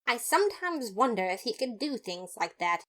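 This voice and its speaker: A woman's voice: fairly high pitch, very animated, moderate pace, fairly bright tone. A woman voicing an earnest, boastful male skeleton detective who narrates his case in a fan audio drama, theatrical and emphatic. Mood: earnest, dramatic. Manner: theatrical, self-satisfied, emphatic.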